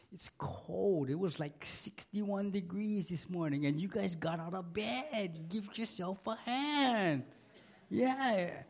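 A middle-aged man preaches a sermon in a church, taking his time over each phrase. His voice sits a little high and has a gravelly fry, worn down by a cold. He leans hard on repeated words and calls on the room for an amen. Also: a little mellow. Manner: emphatic, repeats key words, asks the congregation for an amen. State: losing his voice, sick. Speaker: pastor. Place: church sanctuary.

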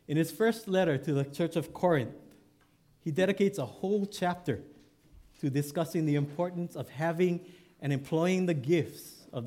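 A man's voice: on the high side, darkish tone. A male pastor from Hawaii with a Hawaiian Pidgin accent, preaching in a joking, conversational way with tag questions. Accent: Hawaiian Pidgin English. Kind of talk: sermon.

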